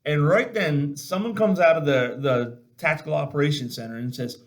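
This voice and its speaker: A man hosting a casual video chat, telling military stories in a blunt, salty, animated way and acting out the dialogue. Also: on the high side, normal speed. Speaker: podcast host and army veteran.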